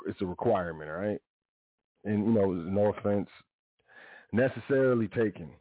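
A man hosting his own show, talking in a rambling, casual monologue full of restarts and asides. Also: no room sound. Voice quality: deep voice. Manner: rambling, frequent self-corrections and "you know". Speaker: podcast host. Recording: dry, phone-like narrow sound.

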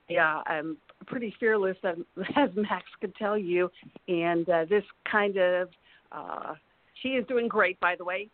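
A woman in her sixties speaks as a podcast guest over a dry, narrow-band line. She tells her story in a halting way, often pausing partway through a sentence and picking it up again. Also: fairly low pitch, dry recording. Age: 60s. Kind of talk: personal storytelling in conversation.

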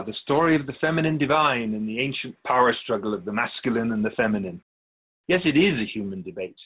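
A man plays a wise otherworldly spirit of a radio drama, patient and gently pedantic. The voice is husky and swoops widely as it explains things in measured phrases. The sound is narrow and phone-like. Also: mid-range voice. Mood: patient, knowing. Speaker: spirit being interviewed. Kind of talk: scripted radio drama dialogue.

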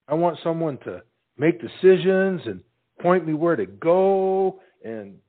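A simple, earnest young soldier played by a man, tongue-tied and humble. His delivery is slow and halting but swings widely in pitch, in a lo-fi recording of a stage comedy. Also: expressive. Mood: earnest, resigned.

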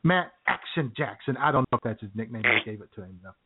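A man's voice: mid-range voice, lively intonation, fast talker, very noisy. A middle-aged man, co-hosting a radio comedy show and telling rambling stories in casual banter. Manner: chatty, rambling storyteller with chuckles. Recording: tinny phone-line sound.

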